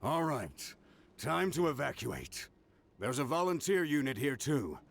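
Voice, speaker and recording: An actor playing a steady, authoritative military commander in a video game, speaking in a measured, considerate way. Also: male voice, medium pitch, vocal fry, heavily acted. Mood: grave, composed. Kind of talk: scripted video game dialogue.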